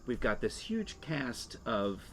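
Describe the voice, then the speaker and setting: A man's voice: mid-range voice, sing-song, slow pace. An American man in his sixties chatting and telling stories casually as a podcast co-host. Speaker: podcast co-host, radio producer and director. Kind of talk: casual podcast chat and storytelling. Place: screened porch outdoors. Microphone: shared desktop condenser microphone on table. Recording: clear outdoor ambience noise, birds and insects.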